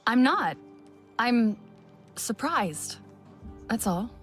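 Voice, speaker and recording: An actress playing a young Na'vi woman in a video game, a wary and curious investigator with a measured, questioning manner. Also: a little high, sing-song, faint music behind, exaggerated voice. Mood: surprised. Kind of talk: video game dialogue acting.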